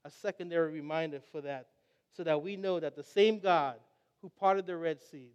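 A man's voice: sits high, big-room sound. A young adult man from Trinidad and Tobago preaching a sermon, earnest and reflective as he tells stories.

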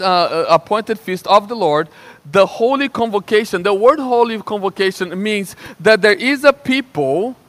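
A young man from Brazil with a Brazilian Portuguese accent, preaching a sermon in a lively, conversational way and often checking in with "right?". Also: high voice. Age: young adult. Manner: animated and conversational, with frequent restarts and "right?" checks. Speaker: pastor.

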